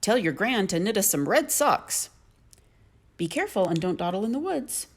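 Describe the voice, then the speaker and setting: A woman voicing a caring storybook mother who gently gives instructions. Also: medium pitch, big pitch swings, moderate pace.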